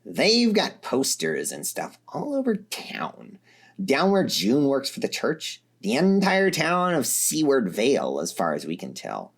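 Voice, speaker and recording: A man voicing an angry, foul-mouthed young woman who growls her words slowly and low. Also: huge pitch swings, vocal fry, husky, exaggerated voice. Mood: growling.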